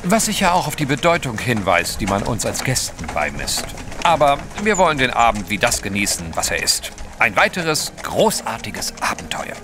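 Ein Schauspieler spielt in einem Hörspiel einen vornehmen, abenteuerlustigen britischen Gentleman, höflich und etwas wichtigtuerisch. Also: medium pitch, very animated, normal speed, over music, close to an everyday voice. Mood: gracious. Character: genteel, adventurous British gentleman, fond husband. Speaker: actor in an audio drama. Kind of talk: German audio drama acting.

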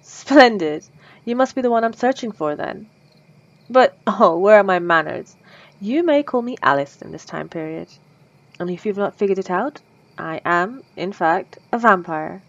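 A woman's voice: medium pitch, expressive, normal speed, no room sound, highs cut off, lightly acted. A woman voicing a polite, lovestruck female vampire in an ASMR roleplay, speaking formally and old-fashioned with nervous stammers.

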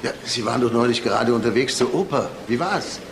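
A man's voice: medium pitch, expressive, fairly quick. An actor playing an eager, chatty male police investigator in a naturalistic crime audio drama. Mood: chatty, probing. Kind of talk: naturalistic German radio drama acting. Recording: clear background noise and ambience.

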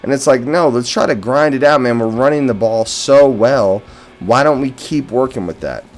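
A man's voice: mid-range voice, moderate pace. An American man giving casual post-game football commentary as a fan and host, opinionated and emphatic on key words. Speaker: sports podcast host and fan. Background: background music.

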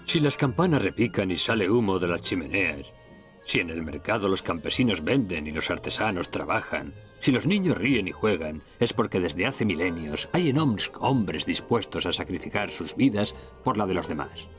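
A man giving a grave, measured speech in a dubbed cartoon. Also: deep voice, sing-song, fairly bright tone, soft background music.

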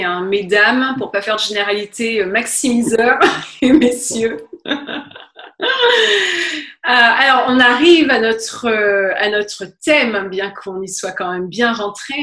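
A woman's voice: fairly high pitch, fairly bright tone, echoey room. A woman hosting a webinar, interviewing a guest on a video call and asking open questions. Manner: slow, affirming, asks open questions. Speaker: webinar host. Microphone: webcam on a video call.

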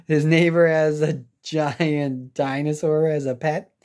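A woman's voice: low voice, little pitch movement, takes it slowly, darkish tone. An American woman teacher reading a children's picture book aloud, expressively, with simple asides and reactions for young learners.

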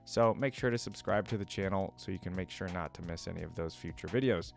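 A man's voice: low voice, quick pace, gravelly, over music. A man in his twenties chatting casually to viewers as a reaction video host, rambling and tentative.

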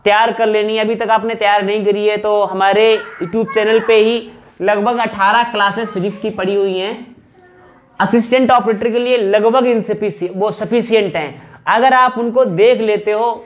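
High-pitched man teaching exam prep. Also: steady tone, moderate pace. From northern India. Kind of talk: explanatory exam-prep lesson at a whiteboard. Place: small indoor room with whiteboard.

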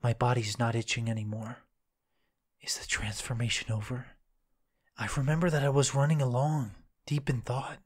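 A man's voice: mid-range voice, level tone, moderate pace, slightly rough, natural voice. A man playing a brooding, chivalrous young fighter with an intense, earnest delivery in a fan-made manga drama.

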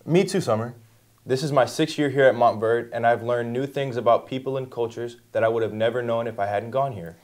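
A man's voice: medium pitch, brisk, darkish tone. Teen boy anchoring a school newscast. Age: teens. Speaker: student news anchor. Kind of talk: scripted news reading. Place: school studio set.

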